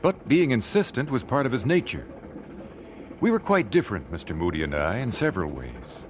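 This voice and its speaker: Reserved american gentleman narrating his memories, radio drama, very expressive, noisy old recording. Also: lowish voice. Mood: reflective. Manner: measured, reflective, well-spoken. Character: thoughtful thirty-year-old singer recalling his life, genteel and reserved.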